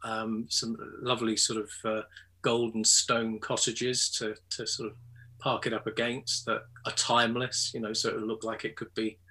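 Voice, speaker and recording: Husky bright older English photographer. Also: male voice, fairly low pitch, little pitch movement, normal speed. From England. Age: 60s. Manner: hesitant, many ums and restarts. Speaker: photographer and artist guest. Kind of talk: casual interview chat.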